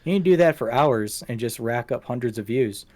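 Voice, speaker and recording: A man's voice: medium pitch, expressive, normal speed, a little mellow. A man hosting a casual podcast, talking through things in a rambling, self-deprecating way. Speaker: podcast host. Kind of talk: casual podcast chat, going through show stats.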